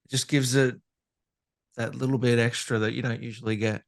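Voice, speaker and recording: A man's voice: medium pitch, level tone, brisk, gravelly, no room sound. Dry-recorded man hosting a casual interview. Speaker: podcast interviewer, host. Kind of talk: casual interview chat, reading viewer questions.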